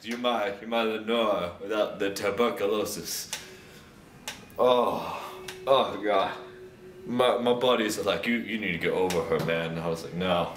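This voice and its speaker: A man playing a drunk, lovesick, melodramatic young guy, rambling haltingly and repeating himself as if on a comic voicemail. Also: on the low side, fairly even intonation, natural voice. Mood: drunken rambling. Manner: slurred, halting, repetitive, overwrought. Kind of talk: comedic rambling voicemail monologue. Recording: roomy, distant, noisy home recording.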